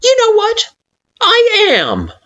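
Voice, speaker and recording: A woman's voice: very high-pitched, big pitch swings, a little slow, voice pushed up, exaggerated voice. A woman voicing an anxious, earnest young human child who pleads and stammers. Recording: dull lo-fi recording.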